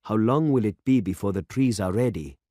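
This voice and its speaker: A man reading aloud and voicing an elderly male village pastor who speaks slowly and solemnly. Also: on the low side, warm tone, no room sound, clean studio sound, voice pushed up, natural voice. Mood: earnest. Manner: slow and solemn.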